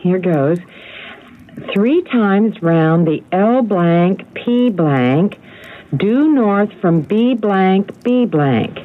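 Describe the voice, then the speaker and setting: An actress playing a careful wife in a 1970s radio mystery, reading aloud in a measured, deliberate way. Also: female voice, medium pitch, expressive, slight background noise. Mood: careful, puzzled. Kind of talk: 1970s radio drama acting. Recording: tinny, narrow vintage radio broadcast.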